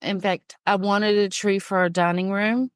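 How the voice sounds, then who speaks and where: A woman's voice: mid-range voice, level tone, normal speed, smooth, no echo, clean studio sound. A woman co-hosting a podcast in casual chat, stuffed up from a cold, chatty and telling stories. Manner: chatty, storytelling. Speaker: podcast co-host.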